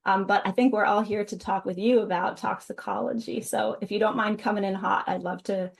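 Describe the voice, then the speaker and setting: A woman's voice: mid-range voice, fairly even intonation, brisk, vocal fry. A woman moderating a video-call webinar, chatty and upbeat, with frequent ums and restarts. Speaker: webinar moderator and food science advisor. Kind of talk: casual webinar hosting.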